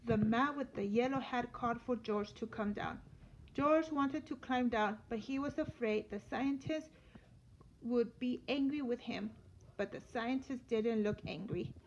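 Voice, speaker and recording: High-voiced woman reading a children's story, unhurried. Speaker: teacher reading a picture book aloud. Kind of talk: children's story read-aloud. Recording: dull lo-fi sound with light noise.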